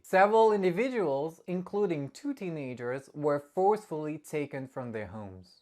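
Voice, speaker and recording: A woman voicing a formal newsreader and reading a crisp news bulletin in a measured way. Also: low-pitched, pitched below the performer's own voice.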